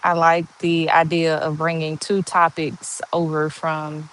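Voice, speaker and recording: A woman in her forties with a monotone voice, chatting slowly and candidly on a livestream and answering viewers' comments. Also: low voice, poor audio. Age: 40s. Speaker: livestream host. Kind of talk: casual live chat answering viewer comments.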